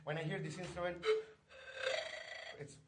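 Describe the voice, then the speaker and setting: High-voiced man leading a sing-along. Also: flat delivery, measured pace, heavy background noise. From northern Chile. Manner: gentle, encouraging, loosely worded explanations. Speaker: community music leader and bandleader.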